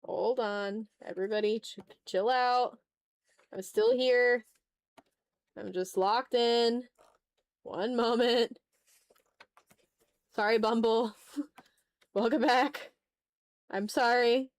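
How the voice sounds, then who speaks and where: Woman streaming while she draws, kinda high voice, casual and jokey w chat, bit sweary, dry audio no room sound. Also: steady tone, slow pace, pauses often, no echo. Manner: informal, joking, mildly sweary. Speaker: livestream host, illustrator. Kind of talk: casual livestream chat while drawing, replying to chat.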